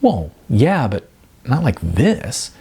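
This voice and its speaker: A man's voice: mid-range voice, huge pitch swings, slow pace, vocal fry, mellow, breathy edge, heavily acted. A man reading a novel aloud, voicing an earnest, shaken young woman with faltering speech. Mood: troubled.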